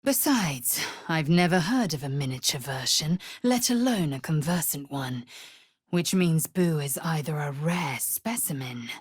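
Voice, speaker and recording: A fierce githyanki warrior woman from a fantasy video game, with a low, husky voice. She speaks in a proud, imperious way, clipping her formal phrases and measuring them out slowly. The sound is close and dry. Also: expressive, no echo, heavily acted. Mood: commanding. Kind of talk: video game character acting.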